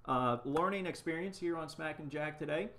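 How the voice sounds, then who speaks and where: A middle-aged man with a slightly high voice co-hosts a relaxed live stream. He delivers groan-worthy jokes and reads quiz questions aloud, picked up by a webcam in a small indoor room. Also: normal speed. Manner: corny joke delivery, reads trivia aloud. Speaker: co-host. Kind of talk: casual live-stream chat. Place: small shop room with framed wall display, indoors.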